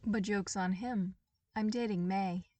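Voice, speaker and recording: A woman's voice: mid-range voice, steady tone, measured pace, darkish tone, no room sound, far from the mic, close to an everyday voice. A woman voicing an awkward, anxious teenage boy who is apologetic and wounded, with a nervous, hesitant manner. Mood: guarded, wistful.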